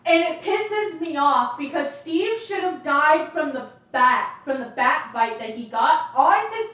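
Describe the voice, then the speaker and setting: A woman's voice: high voice, lively intonation, unhurried, sharp tone. A woman debating casually in an argumentative, insistent way. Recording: very echoey, distant from mic.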